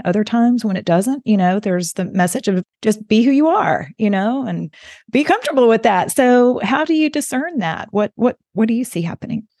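A woman's voice: mid-range voice, darkish tone, no echo, clean studio sound. A woman from Alabama hosting a podcast interview, conversational and encouraging as she asks her guest questions. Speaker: podcast host.

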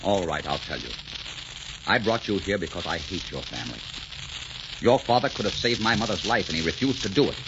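A man's voice: sits low, expressive, brisk. A man acting a proud, bitter, passionate desert sheik in a 1940s radio melodrama, with a commanding, theatrical manner. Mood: bitter, vengeful. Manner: brooding, clipped, commanding, theatrical. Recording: dull, very noisy 1940s radio recording.

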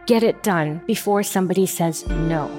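A woman's voice: fairly low pitch, moderate pace, music in the background. A middle-aged woman from Saudi Arabia telling her story as an interview guest, candid and emphatic.

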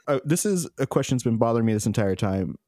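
A man's voice: mid-range voice, fast, no echo. Guy on a podcast joking around, laughs a lot, dry close sound. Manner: jokey, animated, laughs often. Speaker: podcast co-host. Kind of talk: casual chat.

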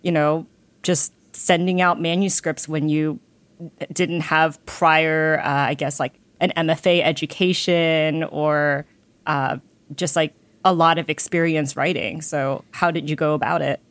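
A woman's voice: low voice, steady tone, measured pace, no echo. A young adult woman who came to the United States as a small child, hosting the interview and asking questions in a chatty way full of fillers. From came to the United States at age three. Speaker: podcast host.

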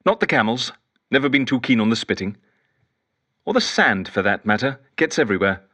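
A man's voice: medium pitch, big pitch swings, a little fast, pushed and bright. An actor playing a lonely, eccentric male detective who rambles fussily and whimsically into an audio diary in an audio drama. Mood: musing. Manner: fussy, whimsical, wistful, digressive. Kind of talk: audio drama monologue as spoken journal.

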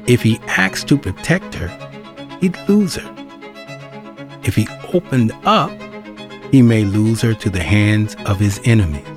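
A man giving dramatic, deliberate scripted narration as the storyteller of an audio drama. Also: lowish voice. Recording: string music clearly behind the voice.

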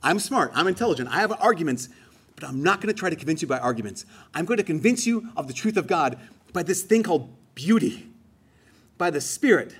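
A man's voice: on the high side, expressive, fairly quick, breathy edge. A man giving a homily in a conversational, emphatic way, rising on key phrases. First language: English. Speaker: preacher.

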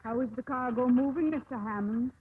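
Actress as a high-voiced young woman sea captain. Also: brisk, a little hiss or hum, natural voice. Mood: commanding. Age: young adult. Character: strong-willed young female merchant ship captain. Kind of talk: 1950s radio drama acting. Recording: muffled old 1950s radio recording.

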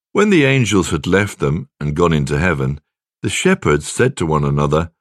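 Male devotional reader, deep register, measured and reverent, dry recording. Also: dry recording. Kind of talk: scripted devotional reading with scripture and prayer.

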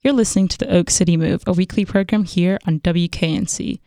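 A woman's voice: on the low side, steady tone, a little fast, mellow, no room sound, studio quality. A young woman hosting a radio interview, asking long, curious questions. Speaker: radio host.

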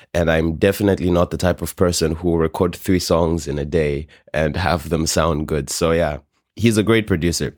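Very low-voiced man, informal and candid. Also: darkish tone, dry recording, studio quality. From Zambia. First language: Bemba. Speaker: singer-songwriter, interview guest. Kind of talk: casual interview chat.

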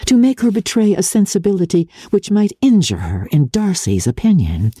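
A woman narrates classic literature with a mellow, husky voice and formal, measured phrasing. Her pitch rises and falls in a lively, dramatic way. The sound is close and dry, suited to storytelling. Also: dry recording. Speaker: audiobook narrator.

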